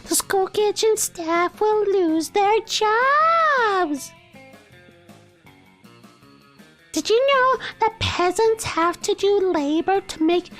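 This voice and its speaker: Super high squeaky naive princess for a game, prim n sweet, talks real slow. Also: male voice, a bit bright, heavily acted. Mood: prim, concerned. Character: naive, sweet, sheltered young monster princess.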